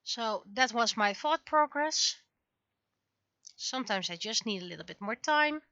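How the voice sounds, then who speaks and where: Woman streamer chatting to herself while drawing, bright crisp-edged voice, dry slightly dull recording. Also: on the high side, lively intonation, measured pace, no room sound. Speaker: livestreaming webcomic artist. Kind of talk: casual livestream commentary while drawing.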